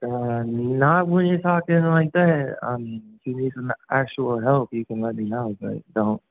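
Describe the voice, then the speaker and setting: A man's voice: mid-range voice, unhurried, no echo. A man answering a call at a motel, irritated and swearing at the prank callers. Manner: irritated and profane. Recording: tinny phone line.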